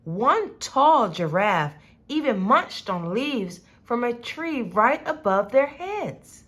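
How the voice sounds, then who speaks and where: Slow, lively woman reading a children's story. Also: on the high side. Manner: expressive, deliberate storytelling. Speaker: storybook reader. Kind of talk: children's read-aloud narration.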